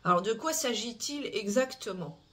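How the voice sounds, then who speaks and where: A channel host recounting a criminal case to viewers in a measured, explanatory way, with frequent hesitations, filler sounds and restarts. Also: mid-range voice, lively intonation, sharp tone. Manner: measured, explanatory delivery with tag "hein". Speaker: true-crime channel host.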